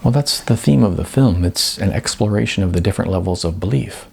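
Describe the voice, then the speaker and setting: A man voicing a guarded, earnest young woman filmmaker in an audiobook, halting and easily put on the defensive. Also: on the low side, creaky, darkish tone, husky. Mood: puzzled, defensive. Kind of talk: audiobook character voice.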